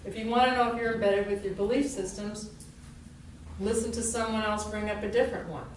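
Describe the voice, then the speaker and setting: A woman teacher speaks casually to a gathered group, self-deprecating and quick to laugh. Her voice carries from a distance across a large, very echoey room. A faint murmur of room ambience sits underneath. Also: medium pitch, steady tone, slight background noise. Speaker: spiritual teacher. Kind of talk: informal dharma talk to a group.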